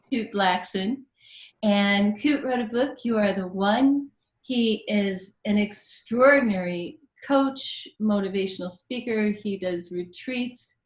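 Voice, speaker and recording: A woman hosting a talk show, effusive as she introduces and questions her guest. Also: medium pitch. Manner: warm, effusive. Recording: echoey studio with faint music.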